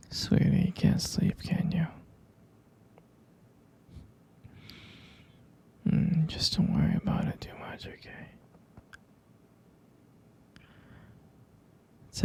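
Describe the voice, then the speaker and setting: A man playing a sleepy, tender young boyfriend in an ASMR roleplay, murmuring soft, hesitant reassurance in a breathy, husky whisper. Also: on the high side, full character voice.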